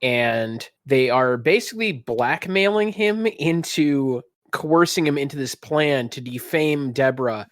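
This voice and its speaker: A man's voice: medium pitch, expressive, a little slow, few pauses, no echo, clean studio sound. A man running a tabletop role-playing game, narrating in a theatrical, descriptive storytelling manner. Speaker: game master.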